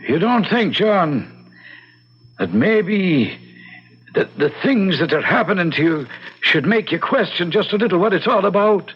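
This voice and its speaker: A man playing a concerned, earnest priest in an old-time radio drama, hesitant and gently probing, on a muffled recording. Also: high-pitched, lively intonation, measured pace, breathy edge, full character voice.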